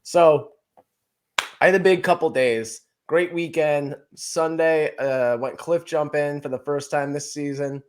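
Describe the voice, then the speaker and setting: Upbeat young man, fairly high voice. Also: a little slow. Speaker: podcast co-host. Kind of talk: casual chat.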